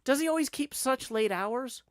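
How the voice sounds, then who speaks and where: A man's voice: very high-pitched, very animated, normal speed, voice pushed up, full character voice. A man voicing a wary, curious sailor in a live reading of a classic novel. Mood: curious, insistent.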